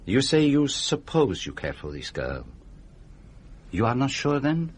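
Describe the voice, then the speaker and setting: An actor playing a courteous, probing foreign detective in a radio drama, speaking formally and precisely with inverted phrasing. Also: male voice, medium pitch, sing-song, measured pace, slight background noise. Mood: measured, insistent.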